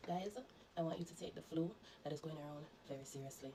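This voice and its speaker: A woman's voice: sits low, fairly even intonation, fast, dark tone. A Jamaican woman vlogger, congested and coughing, earnestly recounting her illness to her viewers and warning them. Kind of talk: personal storytelling to viewers.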